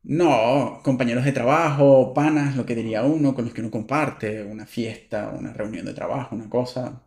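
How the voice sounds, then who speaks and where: A man's voice: mid-range voice, moderate pace, vocal fry, a little mellow. Venezuelan man chatting in an echoey room. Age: 30s. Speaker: podcast co-host. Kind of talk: casual chat.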